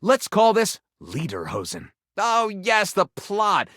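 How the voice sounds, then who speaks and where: A theatrical male storyteller from a video game, narrating with wry relish. His slightly high voice moves through wide, animated swings of pitch. He lingers on titles with dramatic pauses, in a dry studio-clean sound. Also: no room sound, heavily acted. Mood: playful, wry.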